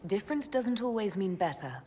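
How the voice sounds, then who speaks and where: A woman's voice: mid-range voice, sing-song, fairly quick, mellow, big-room sound, a little hiss or hum, close to an everyday voice. A woman playing a composed, inquisitive elf mage leader in a video game, speaking directly and mostly asking questions. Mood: curious. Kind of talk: dramatic video game dialogue.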